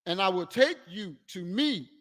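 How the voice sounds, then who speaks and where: Long hall reverb, man, high voice, lively intonation, deliberate Bible reading. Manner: measured, deliberate, phrase by phrase. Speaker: scripture reader assisting the preacher. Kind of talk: formal reading aloud of Bible verses.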